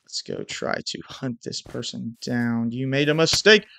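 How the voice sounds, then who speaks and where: A man's voice: medium pitch, a little slow, creaky, a bit bright, slight background noise. Young man with a stuffy nose, gaming. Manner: hyped intro, then muttered play-by-play. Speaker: gaming YouTuber. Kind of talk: casual gameplay commentary. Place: small room at home. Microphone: headset mic.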